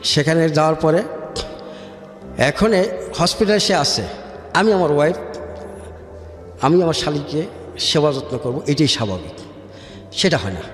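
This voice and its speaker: A man with a Bangladeshi accent telling his personal story as a radio interview guest, haltingly and often repeating words. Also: on the high side. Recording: echoey hall-like reverb with music. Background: music.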